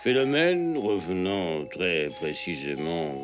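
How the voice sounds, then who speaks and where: A man's voice: sits low, very animated, slow pace, over music, full character voice. A man playing a droning, long-winded male lecturer in a cartoon. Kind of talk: animated cartoon voice acting.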